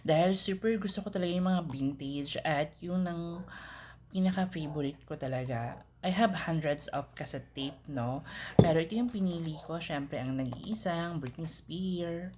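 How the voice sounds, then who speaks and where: A woman's voice: deep voice, normal speed, a little mellow. A woman with a Filipino accent chatting casually as a vlogger in an unboxing video, switching between two languages. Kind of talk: casual unboxing vlog chat, mixing Tagalog and English. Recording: clearly noisy background.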